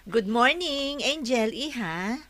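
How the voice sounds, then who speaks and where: An actress playing a kindly, concerned madam in a radio drama, caring and inquiring. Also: female voice, fairly high pitch, lively intonation, slow, a bit bright.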